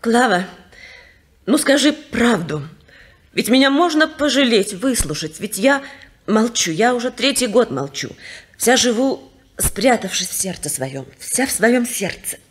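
An actress playing a suffering, oppressed wife who pleads in an anguished way in an old radio play. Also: female voice, on the high side, animated, measured pace, slightly rough. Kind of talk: 1950s radio play acting.

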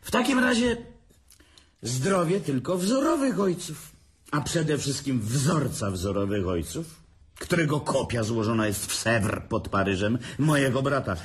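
An actor playing a mocking, sarcastic middle-aged older brother in a radio drama. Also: male voice, mid-range voice, lively intonation, a little slow, close to an everyday voice.

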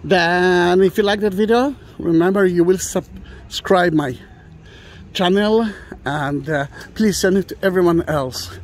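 A man's voice: high-pitched, unhurried, slight background noise. Man guiding a walking tour. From Israel. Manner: hesitant, many fillers and restarts, enthusiastic. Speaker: tour guide. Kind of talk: walking tour vlog, unscripted. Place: outdoor plaza of an old train station. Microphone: phone or camera carried while walking.

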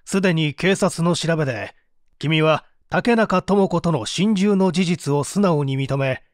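An actor playing a composed male prosecutor who questions a suspect in a measured, formal and probing way, in a radio drama. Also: on the high side, no echo, clean studio sound, lightly acted. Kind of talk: dramatized mystery reading.

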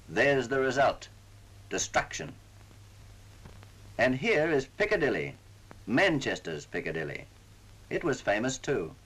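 A man's voice: mid-range voice, low-quality recording. A man narrating a wartime propaganda film in a solemn, formal, oratorical way, on a vintage 1940s soundtrack. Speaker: newsreel narrator.

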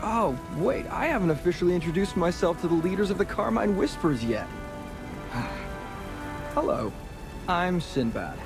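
A man's voice: high-pitched, animated, unhurried, music in the background. A man voicing a wary young hired hand from the docks in a game. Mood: friendly.

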